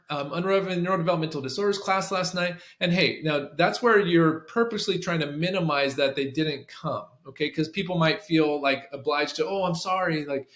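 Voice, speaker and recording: A man with a slightly high voice walks listeners through a procedure like a trainer. He restarts and repeats phrases, checking in as he goes. The room adds a strong echo to his voice. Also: fairly bright tone. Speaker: sales call trainer for a clinic. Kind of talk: instructional screen-share walkthrough with demonstrated scripts. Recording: roomy, echoing room sound.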